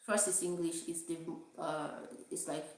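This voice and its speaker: A woman's voice: lowish voice, fairly even intonation, a little slow. A young Nigerian woman with an accent, chatting casually and rambling on a livestream, often laughing at herself. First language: Igbo. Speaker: vlogger. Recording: very echoey room.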